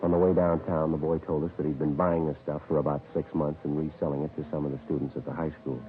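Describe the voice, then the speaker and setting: An actor playing a terse police detective in a 1950s American radio crime drama, narrating in a deadpan, matter-of-fact, clipped way. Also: male voice, a bass voice. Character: terse, businesslike male police detective narrating his case. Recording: tinny vintage radio recording with music.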